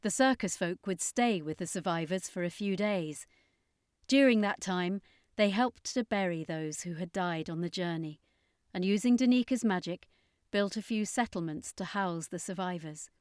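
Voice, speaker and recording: A woman's voice: lowish voice, normal speed, darkish tone, clean tone, no echo, studio quality. A woman reading a scripted adventure story as an audiobook narrator.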